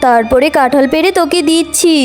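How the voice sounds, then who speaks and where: A woman's voice: high-pitched, brisk, over loud music, close to an everyday voice. A woman voicing a sly, stingy tigress auntie in a children's cartoon, bossy and coaxing. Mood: bossy, complaining.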